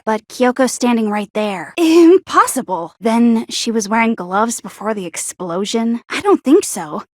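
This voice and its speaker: An actress playing a paranoid, lovestruck teenage schoolgirl with a sharp tongue in an anime video game, stammering and accusatory in her dramatic delivery. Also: fairly high pitch, expressive, measured pace. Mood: suspicious, brooding.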